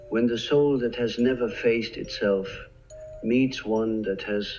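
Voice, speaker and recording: A man narrates in a slow, grave, deliberate cadence, letting long pauses hang between phrases. His voice sits in a middle register and sounds a little dull and lo-fi. Soft music runs quietly underneath him. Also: medium pitch. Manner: slow, solemn, measured pauses. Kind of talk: scripted narration. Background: faint background music.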